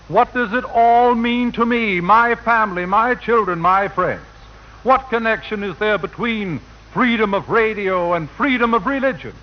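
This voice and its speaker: High-pitched man announcing on old radio. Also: slight background noise. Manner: formal, oratorical. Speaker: master of ceremonies introducing speakers. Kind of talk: formal 1940s radio announcing. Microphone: broadcast microphone. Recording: dull lo-fi 1940 radio broadcast.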